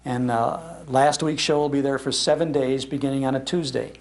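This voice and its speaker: Older American man, slightly creaky voice. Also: medium pitch, fairly even intonation, brisk. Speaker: old-time radio show host giving a talk. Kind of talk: informal public talk to an audience. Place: public library meeting room.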